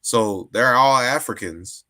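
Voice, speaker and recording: A man's voice: mid-range voice, slow, pushed and bright. A man in a casual discussion, often restarting and repeating words as he builds his argument. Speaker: guest.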